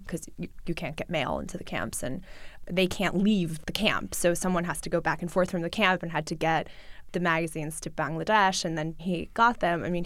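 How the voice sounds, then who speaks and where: Gravelly, chatty woman. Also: on the low side, dry recording. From New York City. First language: Russian. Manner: chatty, many fillers like "like" and "I think". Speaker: journalist guest. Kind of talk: casual interview talk.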